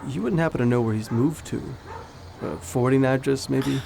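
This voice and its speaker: A man playing a steady, protective young friend, easygoing and reassuring, in naturalistic audio drama style. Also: mid-range voice, fairly quick, creaky, noisy background, close to an everyday voice. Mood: polite.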